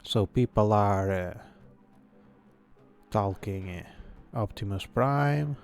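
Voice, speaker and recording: Slow, gravelly-voiced man coding on stream. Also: on the low side, darkish tone, soft background music. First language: not English. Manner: thinks aloud, mutters to himself, frequent fillers. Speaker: game developer streamer. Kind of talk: casual live-stream coding chat.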